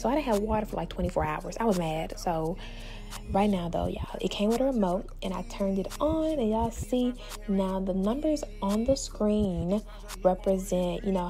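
A young woman with a gravelly, mellow voice narrates a cleaning vlog. She sounds tired and drops chatty, half-whispered asides to her viewers. Faint music plays under the voice-over. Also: mid-range voice. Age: young adult. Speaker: lifestyle vlogger.

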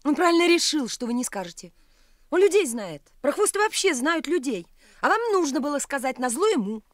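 A woman's voice: high voice, big pitch swings, fairly quick, no echo, studio quality. An actress playing a capricious, teasing, bored young provincial woman in a radio play, mocking and imperious. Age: young adult.

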